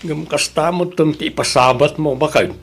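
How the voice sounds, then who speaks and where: A man with an Ilocano accent playing a defensive, exasperated village captain and husband in an audio drama, his voice husky. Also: fairly high pitch, normal speed. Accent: Ilocano, northern Philippines.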